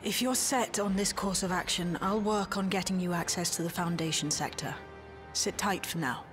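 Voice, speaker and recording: An actress playing a confident, clever technical expert in a video game, articulate and matter-of-fact. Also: female voice, lowish voice, normal speed, music in the background, natural voice. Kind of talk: dramatic video game voice acting.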